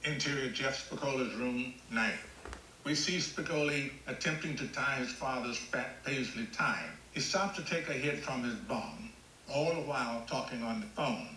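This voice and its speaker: A man's voice: medium pitch, moderate pace, pushed and bright, roomy sound, slight background noise, poor audio. A man reading stage directions as scripted screenplay narration at a table read.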